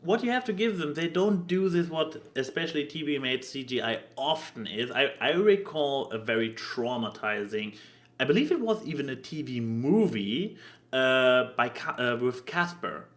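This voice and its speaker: A man co-hosting a podcast, talking casually and opinionatedly about cartoons in a halting way, repeating words as he makes his point. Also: medium pitch, lively intonation, fairly bright tone. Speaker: podcast co-host.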